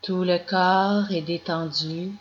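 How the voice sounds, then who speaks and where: A woman guiding a yoga nidra meditation as scripted narration, in calm, measured instructions with long pauses. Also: on the low side. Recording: dull lo-fi recording with clear background noise.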